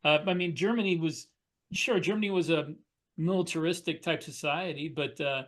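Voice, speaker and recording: A man with a somewhat high voice speaks as a podcast co-host in a relaxed panel chat. He deliberates earnestly as he makes his points. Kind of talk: casual panel discussion.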